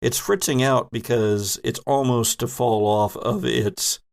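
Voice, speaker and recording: A man narrating an improvised tabletop game as game master, building descriptions in halting, pieced-together phrases. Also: medium pitch, steady tone, vocal fry.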